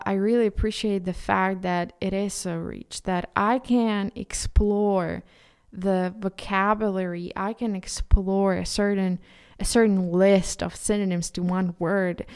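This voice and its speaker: A Ukrainian woman, a native Ukrainian speaker, hosting a solo podcast in English with an earnest, halting delivery full of restarts. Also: mid-range voice, darkish tone, clear, resonant tone. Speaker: podcast host.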